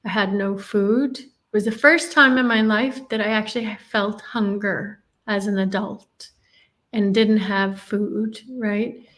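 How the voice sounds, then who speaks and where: A woman's voice: medium pitch, fairly even intonation, slow pace, fairly bright tone. A woman from Ireland, telling her life story in an earnest, reflective way as an interview guest. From Northern Ireland.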